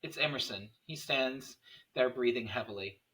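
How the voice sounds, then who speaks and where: A man's voice: medium pitch, moderate pace, bright, forceful tone, low-quality recording. A man reading scene headings and stage directions as narrator at a script table read.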